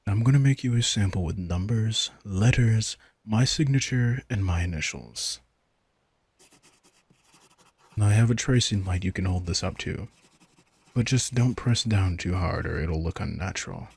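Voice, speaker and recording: A man playing a smug, manipulative vampire king in an intimate roleplay audio drama, talking casually with teasing, soft condescension. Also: on the low side, moderate pace, vocal fry, slightly rough. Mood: brisk, offhand.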